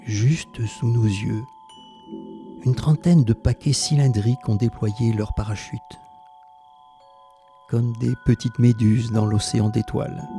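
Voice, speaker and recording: Casting: man as reflective wartime memoirist, mellow mid-range voice, literary narration over faint music. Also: fairly low pitch, gravelly. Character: reflective former resistance fighter recounting wartime memories. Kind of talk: scripted narration in an audio drama.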